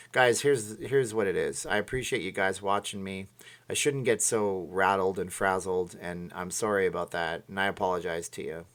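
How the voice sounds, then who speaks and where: A tired man hosting a casual livestream, reading out viewer comments and rambling emotionally. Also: low voice. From Minnesota. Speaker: livestream host.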